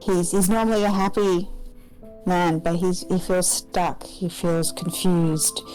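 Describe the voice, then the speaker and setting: A woman with a fairly low voice describes her character in a tabletop game. She speaks hesitantly, restarting and searching for words as she goes. Faint guitar music plays in the background. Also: little pitch movement, takes it slowly, warm tone, poor audio. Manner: hesitant, with many fillers and restarts. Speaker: player.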